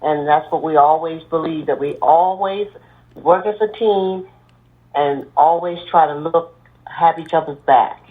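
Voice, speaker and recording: A woman's voice: deep voice, unhurried. A woman, a mother and church deacon, giving earnest advice as a podcast guest. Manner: earnest, advising, steady. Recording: tinny phone line.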